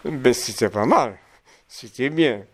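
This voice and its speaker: A man's voice: mid-range voice, lively intonation, takes it slowly, a bit bright, a little hiss or hum. A man in his seventies from Martinique, a photographer answering interview questions with many hesitations and restarts. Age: 70s. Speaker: photographer guest.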